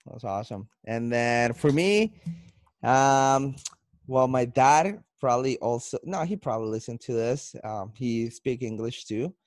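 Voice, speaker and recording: A man's voice: medium pitch, measured pace, no room sound, studio quality. A man with a Colombian Spanish accent hosting a podcast, chatting loosely with frequent restarts and laughs. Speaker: podcast host. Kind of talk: casual podcast chat.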